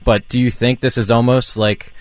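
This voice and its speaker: A man's voice: mid-range voice, little pitch movement, normal speed, dry recording. A man, the show's producer, asking thoughtful, rambling questions with lots of restarts. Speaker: executive producer. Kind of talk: talk radio conversation.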